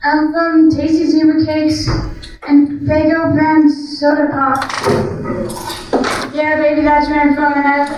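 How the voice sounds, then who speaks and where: Teen girl poet, high and flat reading, dull distant recording in an echoing room. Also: slow pace, long pauses, slight background noise. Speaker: student poet. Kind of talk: spoken word poetry reading. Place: school classroom. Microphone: handheld mic filmed from far back.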